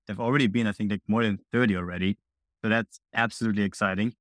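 Young male founder from Austria, casual and eager, slightly low pitch, dry close mic. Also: fairly even intonation, no room sound. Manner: upbeat, quick, chatty with many fillers. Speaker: guest, indie founder. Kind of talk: casual interview answers.

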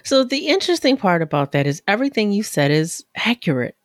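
A woman hosting a podcast in casual, upbeat, lively conversation. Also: mid-range voice, fairly quick, no room sound, studio quality. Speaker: podcast host.

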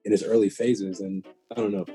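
An American man in his late thirties talking casually and sincerely, in a reflective, halting way, circling back over phrases as he thinks. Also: lowish voice, little pitch movement, warm tone, soft background music. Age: late 30s. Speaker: podcast guest, new father. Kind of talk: casual heartfelt chat.

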